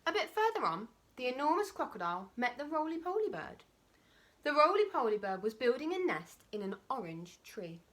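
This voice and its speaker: A woman reading a children's storybook aloud like a primary school teacher, with expressive, emphatic and dramatic phrasing. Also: high voice, moderate pace.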